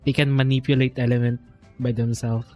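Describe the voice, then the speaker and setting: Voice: medium pitch, level tone, a little fast, lo-fi sound. A streamer with a Filipino accent, reacting and musing aloud in casual game commentary that switches between two languages. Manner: informal, reactive, muses aloud. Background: game music.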